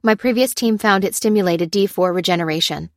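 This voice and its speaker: A woman's voice: mid-range voice, brisk, no room sound, studio quality, natural voice. A woman voicing an anguished, determined female researcher in an audiobook, breathless and pleading. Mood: tense, earnest. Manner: breathless, urgent, insistent.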